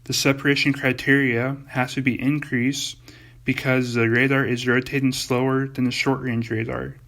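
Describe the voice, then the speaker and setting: A man's voice: mid-range voice, flat delivery, normal speed, a bit bright, lightly acted. A young man playing an air traffic controller who gives scripted technical explanations in an amateur comedy skit. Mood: explanatory. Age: young adult.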